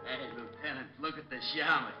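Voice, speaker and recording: An actor playing a dim-witted, goofy heckling police sergeant sidekick in a 1940s radio comedy drama. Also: male voice, medium pitch, fairly quick, loud music behind the voice, lightly acted. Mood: goofy, teasing. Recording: muffled, echoey old radio recording.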